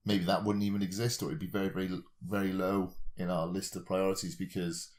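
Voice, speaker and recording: A man's voice: deep voice, flat delivery, a little fast, darkish tone. A man in casual podcast discussion, reasoning aloud in a tentative, self-questioning way as a co-host.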